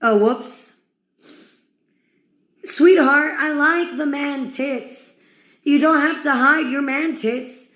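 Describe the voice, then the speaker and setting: A woman with a fairly high voice performs a theatrical, taunting monologue. She speaks very slowly, with crude mockery aimed at a single listener. The recording is roomy and echoing. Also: fairly bright tone. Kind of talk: dramatic mocking monologue to one listener.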